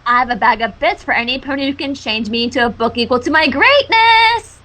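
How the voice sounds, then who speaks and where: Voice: high-pitched, lively intonation, brisk, bright, forceful tone, pitched above the performer's own voice. A fan-fiction reader voicing a boastful, demanding female pony magician who talks grandly of herself in the third person. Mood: proclaiming.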